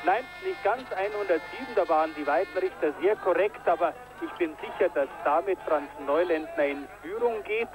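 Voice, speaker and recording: A man giving live commentary on a ski jumping event, getting excited on big jumps, from an old broadcast tape. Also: high-pitched, moderate pace, few pauses, music in the background, low-quality recording. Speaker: sports commentator.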